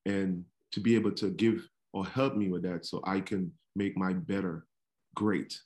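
A man from Trinidad and Tobago, a barber, answering interview questions in a halting way with many fillers. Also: sits low, steady tone, a little mellow. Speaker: barber, podcast guest.

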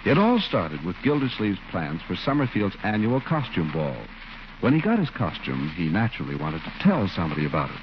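A mock-grave radio comedy narrator, with a lowish, animated voice. He delivers formal scripted narration on a muffled, noisy 1940s recording. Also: fairly quick. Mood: mock solemn. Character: mock-solemn storytelling announcer of radio comedy. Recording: noisy band-limited old radio recording.

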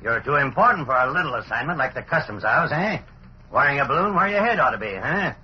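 An actor playing a gruff, sarcastic newspaper editor who scolds in punchy phrases, in 1940s radio style. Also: male voice, medium pitch, lively intonation, fast, close to an everyday voice. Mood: scornful. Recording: dull, noisy old radio recording.

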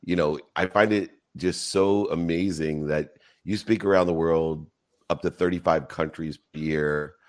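A man's voice: sits low, steady tone, unhurried, darkish tone. A man hosting a live audio talk show, welcoming and questioning a guest in an effusive way, with many fillers. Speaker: show host.

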